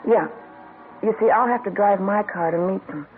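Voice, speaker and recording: A woman's voice: medium pitch, moderate pace, faint music behind, close to an everyday voice. An actress playing a guarded female informant in an old radio drama, blunt and clipped. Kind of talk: 1950s radio drama acting. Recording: tinny lo-fi old radio broadcast.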